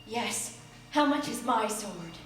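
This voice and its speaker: A woman reading a literary story aloud in a theatrical, deliberate way, as part of a staged performance. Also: medium pitch, animated, a little hiss or hum. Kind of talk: dramatic literary storytelling, scripted narration. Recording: distant live theatre recording, echoey, music behind. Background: music and sound design.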